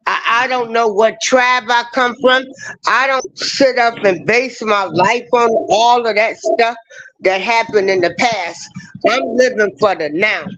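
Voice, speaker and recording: Easygoing man, slow, over dull stream audio. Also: very high-pitched, fairly bright tone, a little hiss or hum. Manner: easygoing, affirming, repeats himself. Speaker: host of a live stream show. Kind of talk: casual livestream talk.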